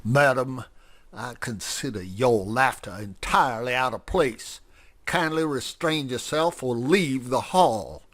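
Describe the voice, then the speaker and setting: A man's voice: medium pitch, slow pace, a bit bright. A man voicing a stiff, self-important male woodpecker politician who speaks formally and pompously. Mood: stiffly indignant.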